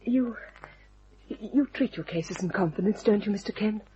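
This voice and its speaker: An actress playing an alluring, anxious young client in a radio detective drama, speaking hesitantly and breathily. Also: female voice, medium pitch, moderate pace, dark tone, lo-fi sound, a little hiss or hum, natural voice. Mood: demure, anxious. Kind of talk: old-time radio crime drama acting.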